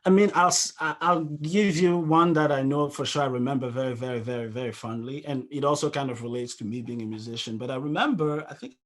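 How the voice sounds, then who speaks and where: A man's voice: medium pitch, fairly quick, few pauses, a bit bright. Thoughtful man, hesitant and searching. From Cameroon. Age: 30s. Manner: thoughtful, hesitant, searching for words with many restarts. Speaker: musician and interview guest. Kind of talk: casual question-and-answer talk. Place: remote video call.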